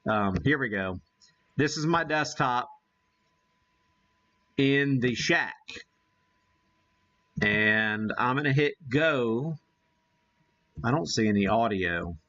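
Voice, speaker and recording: A man hosting a casual live demo, thinking aloud in a halting, folksy, self-deprecating way. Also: mid-range voice, animated, pushed and bright. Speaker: host of a live ham radio demonstration. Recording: dull, muffled lo-fi sound.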